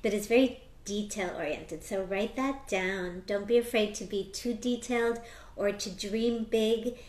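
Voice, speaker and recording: Animated woman in an echoey room. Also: medium pitch. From United States. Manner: animated, quick bursts of excitement. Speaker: astrologer and tarot reader. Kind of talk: casual interview chat.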